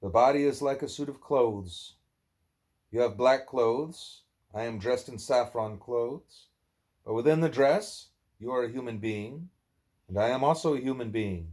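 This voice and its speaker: A man reading a spiritual dialogue aloud at home, speaking deliberately and sometimes correcting himself. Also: medium pitch, darkish tone. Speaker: book reader, parent.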